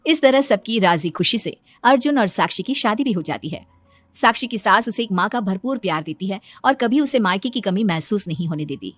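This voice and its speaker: A woman narrates a scripted tale as a storyteller. Her voice is of average pitch. Faint music plays behind her. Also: expressive, fairly quick, no room sound.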